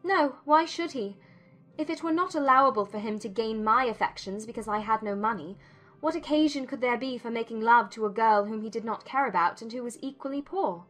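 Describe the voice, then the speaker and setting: A woman voicing a witty, defiant young gentlewoman in a dramatized classic novel, speaking in arch, formal, exclamatory phrases. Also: on the high side, fairly quick, faint music behind, pitched above the performer's own voice, natural voice. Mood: arch, challenging.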